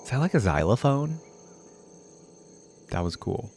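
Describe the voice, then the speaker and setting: A man's voice: medium pitch, sing-song, a little slow, gravelly, a little mellow, a little hiss or hum. A man giving casual, easygoing gaming reaction commentary, informally thinking aloud as he hosts. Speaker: reaction video host.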